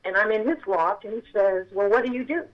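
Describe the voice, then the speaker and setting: A middle-aged woman with a childlike voice, telling her story as an interview guest and acting out the dialogue with sudden jumps in pitch. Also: a little fast, muffled recording. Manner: excitable, reenacts dialogue with big pitch jumps.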